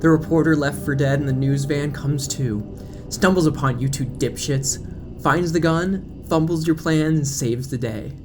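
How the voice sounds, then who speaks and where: A man's voice: a little high, normal speed, over music, natural voice. Man reading as a blunt, sardonic woman reporter. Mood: defiant, taunting. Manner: taunting, blunt. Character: tough, sardonic woman reporter, firm and in control.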